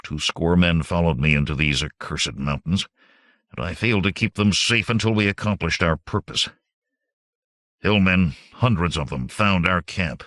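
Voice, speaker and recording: A man's voice: sits low, normal speed, fairly bright tone, dry recording. A man voicing a blunt, ardent young warrior from the hills in an audiobook, with grave, formal speech. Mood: grim, resolute.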